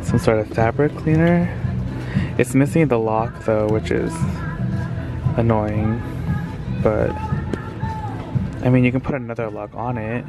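A man in his thirties vlogging casually into a handheld camera, chatty and excitable, talking straight to his viewers. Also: medium pitch, measured pace, gravelly, long pauses, loud music behind the voice. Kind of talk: casual vlog narration. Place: car, outdoor yard and thrift store.

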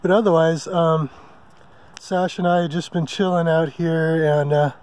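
A man hosting a casual camping vlog from a mountain meadow, talking in a relaxed, rambling way with many ums and uhs. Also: fairly high pitch, a little slow, no echo. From Hawaii. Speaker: vlog host. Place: open mountain meadow campsite outdoors.

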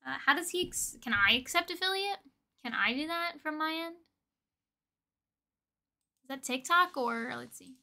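Young female streamer, high-pitched and bright, playful slangy chat with viewers. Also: lively intonation, normal speed, pauses often. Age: young adult. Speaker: livestream host. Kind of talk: casual livestream chat with viewers. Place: indoor room with desk and shelves of collectibles.

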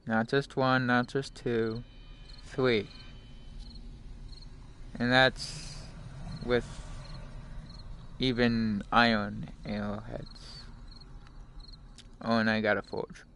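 A man giving casual live gameplay commentary as a streamer, halting and talking in short phrases, often mumbling to himself. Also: mid-range voice, flat delivery, creaky, a bit bright. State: frequent coughing. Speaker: game streamer. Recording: noisy home stream microphone.

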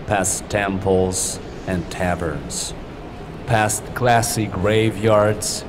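A man reciting verse in a measured, solemn, declamatory manner. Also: deep voice. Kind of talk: poetry recitation. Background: loud music.

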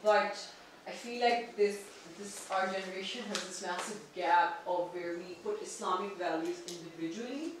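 Echoey, distant woman at a seminar. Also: mid-range voice. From Pakistan. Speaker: audience member, barrister and entrepreneur. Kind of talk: seminar question and comment. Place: large indoor meeting room. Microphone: far room camera. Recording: distant mic, very echoey room, faint noise.

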